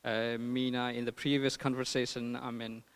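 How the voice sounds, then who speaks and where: A man from eastern Nepal with a Nepali accent gives an informal conference talk as an artist, haltingly searching for words. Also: mid-range voice, level tone, smooth. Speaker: artist and filmmaker presenting his work. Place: large hall at a public talk. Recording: reverberant hall.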